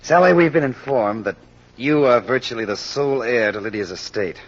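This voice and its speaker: An actor playing a shrewd, persistent American detective in an old radio crime drama, probing and assured. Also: male voice, medium pitch, normal speed, close to an everyday voice. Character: shrewd, persistent male amateur sleuth questioning suspects. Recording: dull lo-fi old radio recording.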